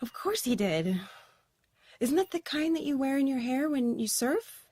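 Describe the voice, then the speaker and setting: A woman's voice: high-pitched, big pitch swings, moderate pace, darkish tone, dry recording, studio quality. An actress playing a nervous young woman who rambles and apologizes, in an audio drama.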